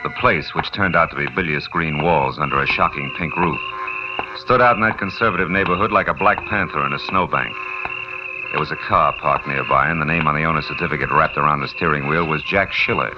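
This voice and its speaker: An actor playing a tough, wisecracking private detective who narrates in 1950s radio noir style, sardonic and terse. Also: male voice, extremely low pitch, brisk. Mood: tense storytelling. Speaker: narrator and lead character. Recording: dull old radio recording with music.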